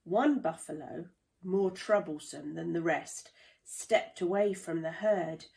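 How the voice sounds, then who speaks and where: A woman reading a children's storybook aloud in a deliberate, dramatic storytelling manner. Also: mid-range voice, darkish tone. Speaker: play therapist reading a children's book. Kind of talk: expressive children's storybook narration.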